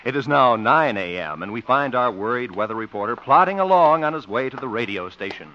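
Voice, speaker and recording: A man's voice: medium pitch, expressive, fairly quick, pushed and bright. A male radio announcer delivering scripted ads and narration in a punchy, polished, emphatic 1950s style. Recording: dull vintage 1950s radio recording.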